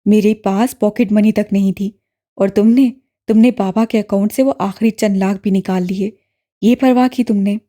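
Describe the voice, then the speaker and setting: A woman voicing a bitter young man who shouts accusations at his sister, in a lowered voice in an audiobook. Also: steady tone, close to an everyday voice. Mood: bitter, shouting. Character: furious young man, bitter estranged brother. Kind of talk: audiobook character voice.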